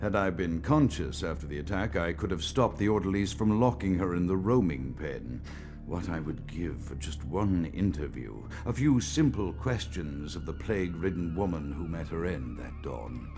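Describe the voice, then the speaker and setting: Super deep pompous mad vampire scientist from a game, florid and morbid, swoopy delivery, music behind. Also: male voice, animated, moderate pace. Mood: pedantic disdain. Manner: pompous, florid, theatrical diction. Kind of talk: recorded journal monologue in a video game.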